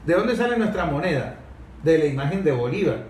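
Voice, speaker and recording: Higher-voiced man in a roomy recording. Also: very animated, a little fast, a little mellow. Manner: emphatic, builds points through repetition and rhetorical questions. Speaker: host of a history and politics programme. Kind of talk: opinionated conversational political talk. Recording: roomy, distant microphone, background noise.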